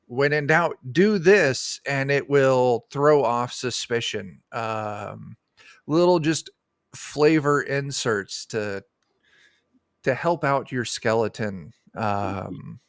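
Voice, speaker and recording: A man's voice: mid-range voice, takes it slowly, gravelly, clean tone, dry recording. Man chatting casually, dry close sound. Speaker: guest, game designer. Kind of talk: casual interview chat about a tabletop game. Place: indoor room on a video call.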